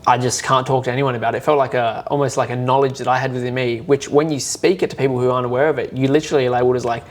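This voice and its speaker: Young adult Australian male host, rapid casual delivery, filler-heavy. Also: medium pitch, fairly even intonation. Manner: fast, filler-heavy talk with many "like"s. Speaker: podcast host. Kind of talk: casual conversation.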